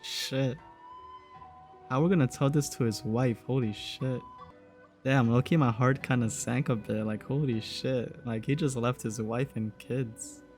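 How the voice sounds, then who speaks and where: A man's voice: medium pitch, fairly even intonation, measured pace, darkish tone, faint music behind. Casual, slangy young man reacting. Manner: slangy, sweary, musing. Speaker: gaming streamer. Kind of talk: casual reaction commentary over a game.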